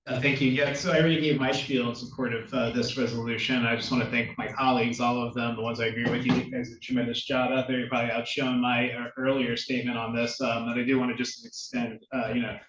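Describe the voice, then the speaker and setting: A man who is a council member, speaking in a measured, diplomatic way with many uhs during a council meeting. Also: medium pitch, flat delivery, fairly bright tone, a little hiss or hum. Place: council chamber. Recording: echoey chamber, distant dull mic.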